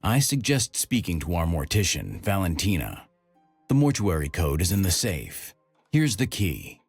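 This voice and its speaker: Casting: earnest police chief, very low voice, animated delivery, music behind. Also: male voice, normal speed, slightly rough, heavily acted. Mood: grave briefing. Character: earnest, troubled male small-town police chief. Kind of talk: video game voice acting.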